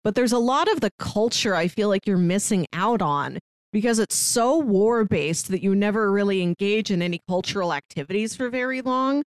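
A woman's voice: medium pitch, lively intonation, moderate pace, dry recording, studio quality. A woman co-hosting a podcast in a casual discussion, articulate and given to acting out quotes to make her points. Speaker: podcast co-host. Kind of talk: casual book discussion chat.

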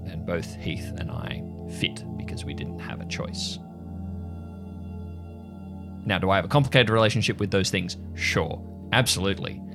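A man playing a bitter, haunted agent in an improvised audio drama, speaking gravely and deliberately. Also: sits low. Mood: defensive, weary. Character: bitter, haunted male agent raised by the agency since childhood. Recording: music under the voice.

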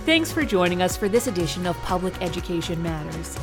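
A woman hosting an education podcast, reading a clear, measured introduction and then interviewing guests. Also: on the low side, sing-song, over loud music. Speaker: podcast host.

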